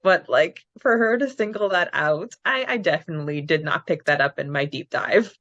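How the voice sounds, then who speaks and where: A woman co-hosting a casual fan podcast, chatty and quick to laugh as she reads out answers. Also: deep voice, a bit bright, dry recording, lo-fi sound. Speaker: podcast co-host.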